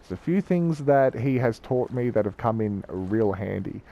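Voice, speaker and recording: Croaky man. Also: on the low side, expressive, moderate pace, dark tone, lo-fi sound. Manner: chatty, rambling, self-correcting. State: croaky from a cold. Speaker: gaming YouTuber. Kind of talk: casual gameplay commentary.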